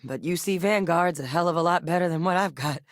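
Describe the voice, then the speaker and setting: A woman voicing a seasoned, earnest female soldier and xenobiologist in studio video game dialogue, measured and sincere. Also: on the low side, no echo, lightly acted. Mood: steady. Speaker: game character voice actor. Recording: dry studio recording.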